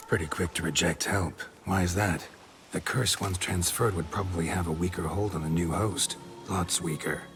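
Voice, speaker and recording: An actor playing a stoic, gruff monster hunter in a video game, speaking in terse, clipped phrases with a low, gravelly voice. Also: male voice, fairly even intonation, normal speed, fairly bright tone, faint music behind. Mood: cautious, matter-of-fact. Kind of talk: video game cutscene acting.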